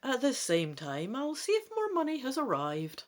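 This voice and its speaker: A brisk, teasing woman from a fantasy storybook, read out by a female narrator. Her pitch is very animated. She speaks like a companion giving practical news with a light tease.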